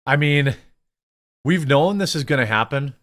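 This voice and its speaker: A man's voice: on the high side, expressive, a little slow, a bit bright. A man hosting a news commentary show, speaking off the cuff in a blunt, opinionated, sometimes sarcastic way and reading bits of articles aloud. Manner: blunt, opinionated, rhetorical questions.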